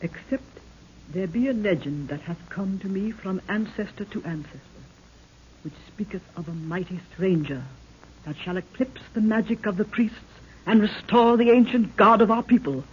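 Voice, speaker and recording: A woman's voice: mid-range voice, normal speed, darkish tone, lightly acted. A woman acting a regal African queen in an old-time radio serial, with archaic, declamatory, solemn speech. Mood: solemn, ominous. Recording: muffled, noisy old radio recording.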